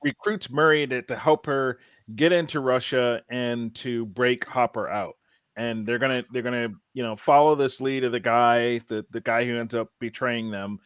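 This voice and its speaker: Halting male podcast host, dry muffled sound. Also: mid-range voice, fairly even intonation, clean tone, dry recording. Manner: halting, repeats words as he thinks. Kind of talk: casual TV show discussion.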